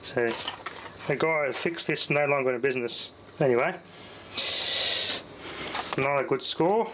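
A man's voice: medium pitch, moderate pace, noisy background. A man giving a casual, rambling hands-on demonstration in informal, slightly slangy speech, as a vlogger would. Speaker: vlogger showing vintage hi-fi gear. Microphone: handheld camera, off screen. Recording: loud music playing behind the voice. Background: music from a cassette deck.

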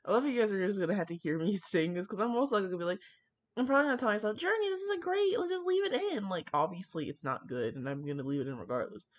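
Young woman rambling casually, sweary and self-mocking, really up and down pitch, kinda dull muffled mic. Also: animated, racing. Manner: irreverent, foul-mouthed, self-mocking. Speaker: host of a fan fiction reading channel. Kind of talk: casual rambling chat to viewers.

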